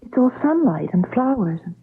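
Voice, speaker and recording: A woman's voice: a little high, big pitch swings, moderate pace, a little hiss or hum. An actress playing a shaken, newly smitten woman in an old-time radio drama, speaking hesitantly, breathily and earnestly. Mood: apologetic, earnest. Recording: muffled vintage radio drama recording.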